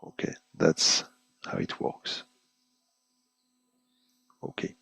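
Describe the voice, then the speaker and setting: A man presenting an unscripted webinar software demonstration in halting, hesitant phrases, often repeating words as he goes. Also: no room sound. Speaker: product presenter giving a software demo.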